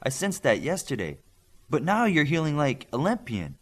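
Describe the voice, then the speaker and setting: A man reads as a young superheroine medic in an audiobook. She is brisk and bossy, her raised voice rising and falling freely as she explains and scolds in a clinical way. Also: fairly high pitch, huge pitch swings, voice pushed up. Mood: intrigued.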